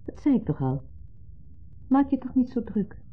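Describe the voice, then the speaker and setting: An actress playing a patient, mildly exasperated wife in a 1970s radio play, reassuring and matter-of-fact. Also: female voice, fairly high pitch, sing-song, normal speed, slightly rough. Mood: reassuring, impatient. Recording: muffled narrow-band 1970s radio recording.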